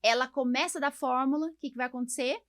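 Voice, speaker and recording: A Brazilian woman with a fairly high voice speaks as a podcast host and doctor. She explains in a conversational, colloquial way, often adding little tags to seek agreement. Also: fairly even intonation, fast talker, a bit bright.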